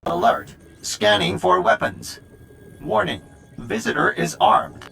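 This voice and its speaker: A man's voice: sits high, slow, gravelly, bright, forceful tone, heavily acted. A man voicing a security droid that makes clipped, robotic announcements in a video game. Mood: mechanical warning. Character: armed security droid, flat and alert.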